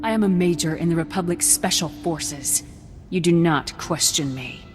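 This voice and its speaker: A woman's voice: on the low side, normal speed, lightly acted. An actress playing a no-nonsense female special forces major in a video game, curt and authoritative. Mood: stern. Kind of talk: video game dramatic dialogue. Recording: loud music underneath.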